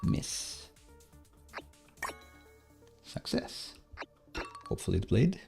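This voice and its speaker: A man live-coding on a stream, casually thinking aloud in a hesitant, halting, self-musing way. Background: soft background music, computer keyboard typing.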